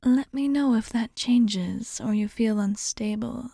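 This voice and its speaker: Female actor as calm vampire bartender, ASMR roleplay: mellow, whispery, unhurried, reassuring and formal. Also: fairly high pitch, no room sound, studio quality. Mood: soft, coaxing. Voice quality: soft, breathy whispering. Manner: slow, soothing, formal and reassuring.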